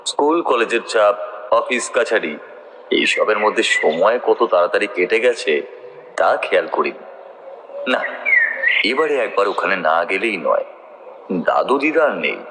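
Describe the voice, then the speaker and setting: A man's voice: low-pitched, a bit bright, slight background noise, rough recording. A man narrating a scripted story in the first person, in a dramatic storytelling style.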